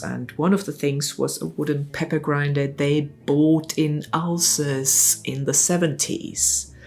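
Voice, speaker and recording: A woman in her forties from Italy, speaking English with an Italian accent in a reflective, halting personal monologue with many pauses and restarts. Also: deep voice. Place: lives in Brighton.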